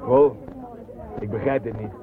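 An actor playing an earnest, insistent and bewildered starship traveller in a radio drama. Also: male voice, medium pitch, fairly even intonation, a little slow, close to an everyday voice. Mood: uneasy, bewildered. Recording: thin phone-like band, faint noise.

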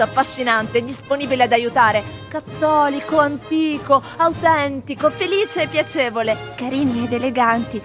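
Expressive female reciter, high voice, declamatory radio theatre delivery, music underneath. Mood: measured. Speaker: performer. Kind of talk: theatrical radio reading of word lists and voice-over translation.

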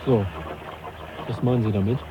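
A man acting in a German radio drama as a reserved, uneasy young gentleman naturalist, speaking in a sober, formal, dry way. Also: fairly low pitch, fairly even intonation, normal speed, close to an everyday voice. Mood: sceptical, dry. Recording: dull, noisy 1980s radio drama.

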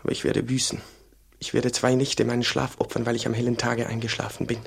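An obedient monk in a radio drama, devout and penitent. His medium-pitched voice is husky. He speaks earnestly and with deference, as if to a superior. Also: level tone, quick pace. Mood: earnest, contrite. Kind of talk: German radio play acting.

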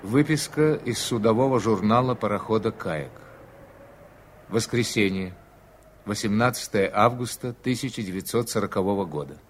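An actor playing the formal, factual reader of a ship's log in a 1980s Soviet radio drama. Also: male voice, fairly low pitch, animated, normal speed, close to an everyday voice. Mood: matter-of-fact. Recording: noisy old radio play recording.

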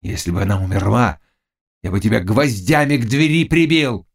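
A man's voice: fairly low pitch, expressive, normal speed. A man voicing a fierce, lovesick Cossack chieftain in an audiobook, shifting between brooding mutters and anguished cries. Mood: lovesick, desperate. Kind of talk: audiobook character dialogue.

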